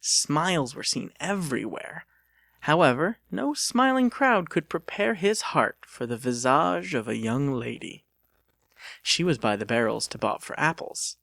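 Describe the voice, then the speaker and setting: A man reads scripted fiction as a theatrical narrator. His pitch ranges widely and has a creaky edge. The recording is close and dry, suited to an audiobook. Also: sing-song, unhurried, a bit bright, no room sound. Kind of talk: dramatic scripted storytelling.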